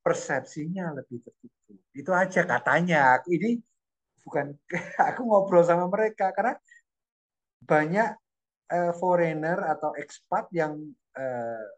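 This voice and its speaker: Animated middle-aged man telling stories. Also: on the high side, measured pace. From Indonesia. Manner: animated, repeats phrases while searching for words. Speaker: guest recounting life abroad. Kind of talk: casual storytelling chat.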